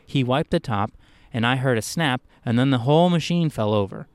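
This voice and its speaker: A man's voice: mid-range voice, animated, fairly quick, clean tone, dry recording, lightly acted. A man voicing a nervous, defensive employee who protests earnestly and insistently in a spoken short story.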